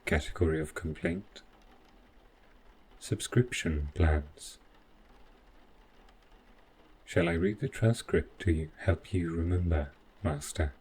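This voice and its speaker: A man playing a devoted, overprotective robot butler in a scripted audio roleplay, speaking in formal, measured, literal phrases. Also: deep voice, sing-song, a little mellow, slight background noise, exaggerated voice. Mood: formal, obedient.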